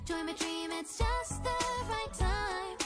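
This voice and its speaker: Female pop singer, very high register, drawn-out phrasing, backed by a full music track. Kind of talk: upbeat pop song vocals.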